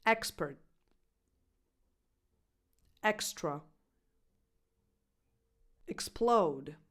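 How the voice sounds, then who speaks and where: A young woman with an Italian accent, giving a scripted English pronunciation lesson as a coach, speaking clearly and slowing down to model words. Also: lowish voice, expressive, a bit bright. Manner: clear, deliberate, slows down to spell out words.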